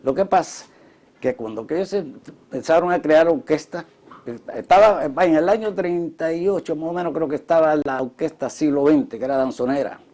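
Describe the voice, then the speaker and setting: A man's voice: on the high side, moderate pace, warm tone. A man with a Cuban accent recalling past times in an interview. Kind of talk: interview recollection of music history.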